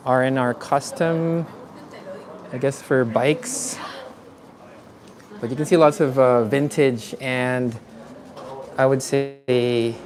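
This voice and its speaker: A man from the Philippines hosting a live walking tour outdoors, talking in a relaxed, chatty way with many fillers. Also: medium pitch, slow, long pauses. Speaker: vlogger. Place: city streets outdoors. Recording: faint street noise outdoors. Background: street traffic and ambience.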